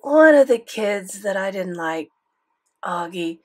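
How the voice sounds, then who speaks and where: A defensive preteen boy mumbles evasive answers, as a woman reader gives him voice. He sounds sulky and self-pitying, quick to excuse himself. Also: medium pitch, lively intonation.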